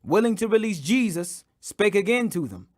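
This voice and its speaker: Solemn male scripture reader, very high-pitched. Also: animated, normal speed. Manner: solemn, declamatory. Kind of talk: dramatic audiobook Bible reading.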